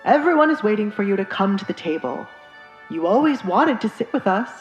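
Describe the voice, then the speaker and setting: A woman's voice: medium pitch, big pitch swings, a little fast, roomy sound, highs cut off, soft background music, lightly acted. A woman voicing a stern, pushy father who demands obedience from his daughter, in dramatized audiobook dialogue. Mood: coaxing, insistent.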